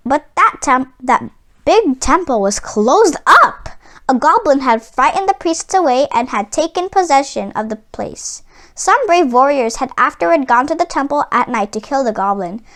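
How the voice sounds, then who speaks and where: A woman reads a storybook aloud for children in a very high voice. Her pitch moves widely and vividly from phrase to phrase. The delivery is expressive and deliberate, clearly that of a storyteller reading from a script.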